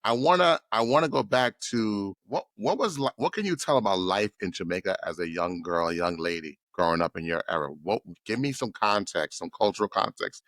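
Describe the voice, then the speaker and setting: A man hosting a podcast and interviewing his guest in a loose, playful, expressive way. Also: on the low side, a little fast, few pauses, dry recording. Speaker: podcast host. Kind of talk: casual interview chat.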